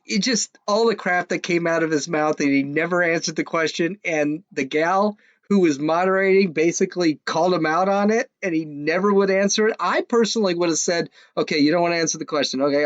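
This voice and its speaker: A man's voice: a little high, fairly quick, no room sound, highs cut off. A man hosting a podcast, ranting casually in a mocking, scoffing way, rambling with frequent restarts. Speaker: podcast host. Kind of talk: casual opinionated rant.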